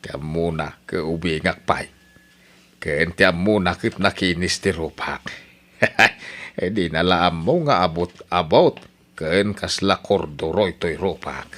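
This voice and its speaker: Guy doing a silly vain old ilocano grandpa, deep, slow, mutters and exclaims, radio comedy. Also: a bit bright. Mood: delighted. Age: older. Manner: exclamatory, theatrical, muttering and chuckling. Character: vain elderly Ilocano grandpa, lovestruck and easily flustered. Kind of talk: comedic radio-style drama acting.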